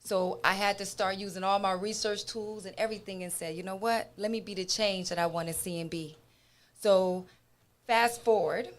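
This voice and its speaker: A woman introducing her motivational talk show as host and wellness coach, speaking in an emphatic, deliberate and encouraging manner. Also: on the low side.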